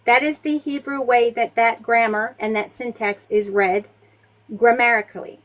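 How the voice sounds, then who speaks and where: A woman with a fairly high voice questions two debaters on a live stream. She presses a technical grammar point and keeps talking over the others. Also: fairly even intonation, moderate pace.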